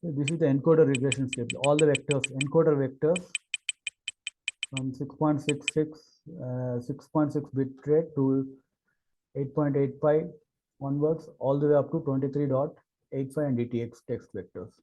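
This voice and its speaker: A man narrating an unscripted technical demo as an engineer, with halting, hesitant phrasing and repeated words. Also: medium pitch, fairly even intonation, mellow, a little hiss or hum, rough recording. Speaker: engineer presenting a product demo.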